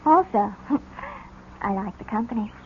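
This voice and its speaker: A woman's voice: mid-range voice, animated, a little slow, close to an everyday voice. A woman in an old radio drama playing a sly teenage psychic girl, on a muffled, noisy recording. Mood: sly, teasing.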